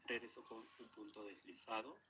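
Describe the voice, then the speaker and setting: A man's voice: lowish voice, fast talker, background noise. A man giving methodical, matter-of-fact, step-by-step craft instructions as a narrator. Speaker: crochet instructor.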